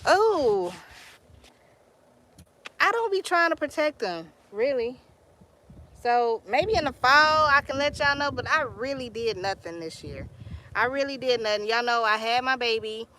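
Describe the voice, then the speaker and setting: A woman's voice: on the high side, expressive, measured pace, pauses often, sharp tone, a little hiss or hum. A young woman with a Texan accent, chatting casually on a live stream from her garden, greeting viewers and telling stories in Southern slang. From Texas, US. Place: outdoor garden.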